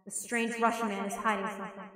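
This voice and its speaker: A woman voicing a polite, earnest young male lawyer who is quick to get exasperated, in a fan dub of a game, with game music behind. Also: medium pitch, level tone, a bit bright, slight background noise, lightly acted.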